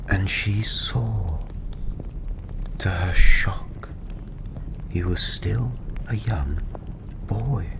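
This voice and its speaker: A male storyteller speaks in a low, hushed voice that often slips into a breathy whisper. He narrates fantasy at a very slow, soothing pace. A steady ambient soundscape sits clearly behind his soft ASMR delivery. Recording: steady ambient background noise.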